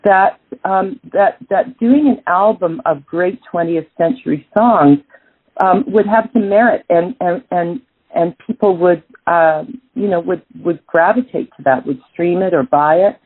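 Canadian jazz singer lady, middle-aged, husky, lots of ums and restarts, phone-ish audio. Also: lowish voice, measured pace, no echo. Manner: thoughtful, many restarts and fillers. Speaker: jazz singer, interview guest. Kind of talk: casual interview answers. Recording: tinny phone-like line.

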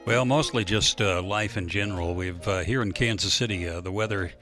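Male radio announcer, low but crisp bright tone, wry jokes, faint music behind. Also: a little fast. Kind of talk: casual radio chat.

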